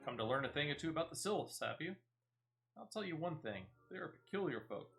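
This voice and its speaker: A man's voice: fairly high pitch, lively intonation, fairly quick, gravelly, a bit bright, soft background music. A cheerful old adventurer who rambles and keeps losing his thread as his memory fails him, as a man reads him aloud. His voice rises and falls as he wanders through half-told stories in a fantasy game. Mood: chatty. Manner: rambling, trailing off mid-sentence.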